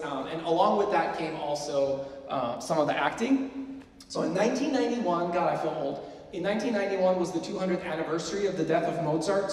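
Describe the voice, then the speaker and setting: A man's voice: a little high, darkish tone. A man in his forties from Sweden, presenting a workshop to music students in a chatty, self-deprecating way with plenty of fillers. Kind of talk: casual lecture to students. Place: lecture hall. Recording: roomy hall, mic far off.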